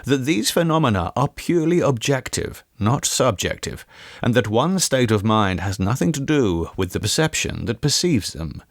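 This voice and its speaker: A man voicing a big, sceptical gentleman who talks in an assertive, articulate, explanatory way in an audiobook ghost story. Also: mid-range voice, big pitch swings, normal speed, no room sound, studio quality.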